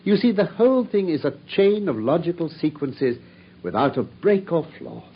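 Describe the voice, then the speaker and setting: An actor playing a coolly brilliant detective, explaining his deductions in a precise, measured way. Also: fairly high pitch, very animated, breathy edge, heavily acted. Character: coolly brilliant, self-assured male detective. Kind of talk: 1950s radio drama acting. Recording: dull lo-fi old radio broadcast.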